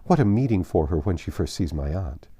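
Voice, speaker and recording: A man reads the part of a sharp-minded young woman in a classic storybook. She speaks gravely and emphatically in a mellow, lowish voice. The delivery is measured and indignant. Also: sing-song.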